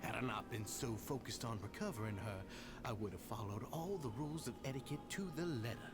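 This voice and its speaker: An actor playing a smooth-talking, scheming cult leader in a video game, formal and deferential in an ingratiating way. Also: male voice, medium pitch, a little fast, lightly acted. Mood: apologetic, placating. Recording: game audio under loud music.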